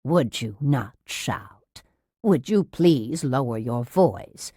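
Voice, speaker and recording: A woman's voice: low voice, animated, unhurried, no echo, studio quality. A woman reading an audiobook as a severe nurse who talks in a syrupy, falsely soothing, patronising and overly reasonable manner. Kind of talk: dramatised audiobook narration.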